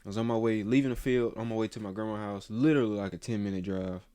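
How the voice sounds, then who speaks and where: A man's voice: fairly low pitch, steady tone, fast talker, mellow, dry recording. A man in his twenties hosting a casual podcast chat, rambling and swearing freely with lots of fillers and restarts. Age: 20s. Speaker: podcast host.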